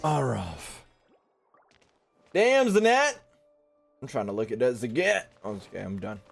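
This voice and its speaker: A man's voice: medium pitch, sing-song, unhurried, vocal fry, faint music behind. A man giving casual, joking, slangy commentary over a game playthrough, often echoing the dialogue. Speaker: gaming streamer.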